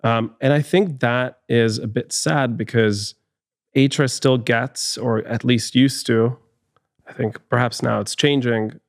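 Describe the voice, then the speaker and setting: A man's voice: mid-range voice, fairly even intonation, unhurried, no room sound. A man in his thirties with a Hungarian accent, a guest in a studio podcast interview, speaking thoughtfully with frequent restarts. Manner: thoughtful, many restarts and fillers. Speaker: guest, HR executive.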